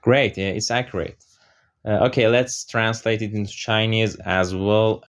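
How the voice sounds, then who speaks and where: A man whose first language is Persian, narrating a software tutorial over screen recordings, explaining step by step with frequent "uh" fillers. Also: lowish voice, fairly even intonation, unhurried.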